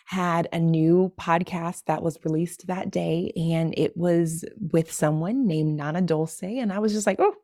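A woman's voice: lowish voice, no echo, studio quality. Effusive woman hosting a podcast. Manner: warm, effusive, says y'all a lot. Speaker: podcast host. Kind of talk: casual podcast chat.

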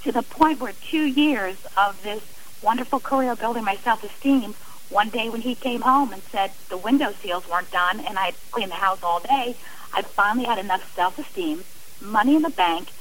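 A woman's voice: mid-range voice, moderate pace, fairly bright tone, rough recording. A middle-aged woman speaking as an interview guest, earnest and emphatic in a conversational way. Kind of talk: personal account in a radio interview.

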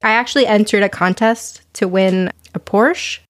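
A young woman hosting a podcast, chatting casually with dry, deadpan quips. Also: mid-range voice, normal speed. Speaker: podcast host. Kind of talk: casual comedic chat.

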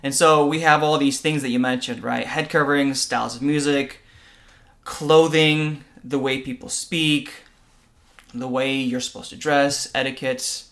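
A man's voice: mid-range voice, steady tone, a little slow, a bit bright. Earnest man explaining church matters. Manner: earnest, explanatory, asks rhetorical "right?". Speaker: podcast co-host. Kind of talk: conversational discussion on faith and church.

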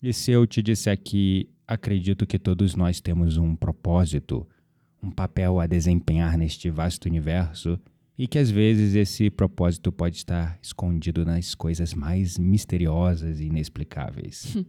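A man with a Brazilian Portuguese accent playing a calm, philosophical young shopkeeper who flatters a customer in an audio drama. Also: low-pitched, a little slow, dry recording. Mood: earnest. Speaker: incense shop clerk.

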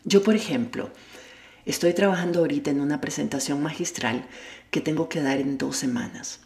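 Low-voiced woman coaching in an echoey room. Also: moderate pace, vocal fry, a little mellow. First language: Spanish. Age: adult with a child at home. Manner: candid, self-deprecating, addresses the listener directly. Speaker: life coach and podcast host. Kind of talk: motivational monologue.

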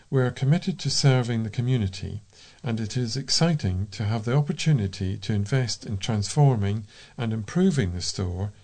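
A man's voice: lowish voice, creaky, a little mellow. A man reading scripted local news as a volunteer news reader.

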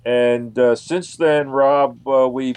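An older American man speaks as a radio guest in a medium-pitched voice. He answers conversationally and a little haltingly, with a dry, close sound. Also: steady tone, a little mellow, clear, resonant tone, dry recording. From Philadelphia, United States. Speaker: interview guest, author and investigator.

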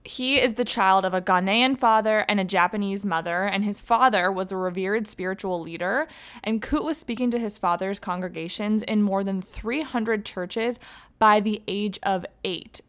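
A woman's voice: medium pitch, moderate pace, fairly bright tone. A woman hosting a podcast, reading a guest introduction and then asking questions in a chatty, upbeat way. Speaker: podcast host. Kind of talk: podcast interview, scripted intro.

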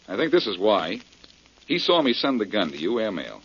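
An actor playing a confident, wry detective with an assertive manner, in 1940s radio drama style. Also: male voice, fairly low pitch, big pitch swings, moderate pace, fairly bright tone, husky, full character voice. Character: confident, wry male amateur detective hero. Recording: dull old radio recording.

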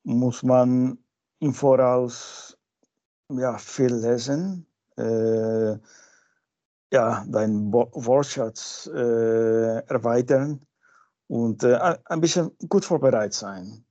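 A man from Peru, a native Spanish speaker with a Peruvian Spanish accent, answering interview questions informally and haltingly, searching for words. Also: mid-range voice, dark tone. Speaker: interview guest. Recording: dull lo-fi sound.

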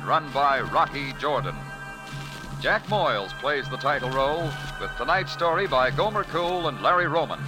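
A man's voice: a little high, poor audio. A man with an American accent reading scripted announcements in 1940s radio style. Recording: vintage radio broadcast with music.

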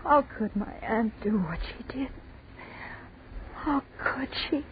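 Casting: grief-stricken young niece, slow delivery, near crying, vintage radio horror, narrow noisy sound. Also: female voice, a little high, lively intonation. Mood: distraught, horrified. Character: horrified, grieving young girl, frightened niece. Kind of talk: 1940s radio horror acting. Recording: muffled, noisy 1940s radio recording.

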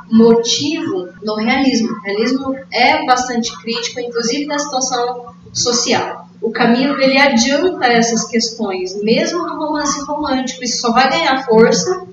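A woman's voice: on the high side, a little slow, crowd noise. A Brazilian woman speaking as a literature expert in a casual book discussion, explaining things with colloquial asides. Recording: echoey, distant, dull, noisy room recording.

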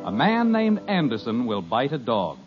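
A man's voice: medium pitch, wildly swooping pitch, normal speed. A man announcing and narrating a 1950s radio comedy in a polished, storytelling way. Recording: dull old radio transcription with music.

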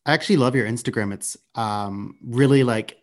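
A man's voice: mid-range voice, normal speed. A man hosting a podcast in casual banter, with a playful, teasing manner. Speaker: podcast host.

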